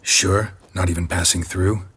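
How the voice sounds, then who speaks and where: Gruff, low-voiced deadpan monster hunter. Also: male voice, a little slow, heavily acted. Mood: questioning, flat. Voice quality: low, gravelly, husky. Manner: terse, deadpan, clipped. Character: gruff, weary, stoic monster-hunter man, terse and deadpan. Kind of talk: video game voice acting.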